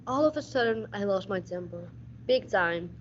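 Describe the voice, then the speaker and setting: A woman's voice: medium pitch, expressive, moderate pace, natural voice. Muffled, hardboiled detective played by a young woman. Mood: dry, hardboiled narration. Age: teens. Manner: stumbles and repeats words while reading. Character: hard-nosed, wisecracking male police detective. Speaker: school radio drama actor. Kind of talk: hardboiled detective radio-play narration. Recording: dull audio with faint noise.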